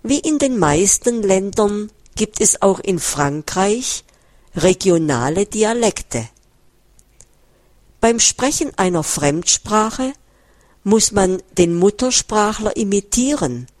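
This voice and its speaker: A woman reading a first-person book text aloud in a measured, careful way, close to a studio mic. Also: mid-range voice, expressive, darkish tone, no echo. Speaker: audiobook reader. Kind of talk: scripted first-person narration read aloud.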